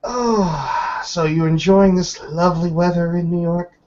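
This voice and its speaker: A man's voice: high-pitched, fairly even intonation, a little slow, darkish tone, lo-fi sound, pitched above the performer's own voice. A man playing a tipsy, cocky young female werewolf in a tabletop game, with a wry, teasing manner.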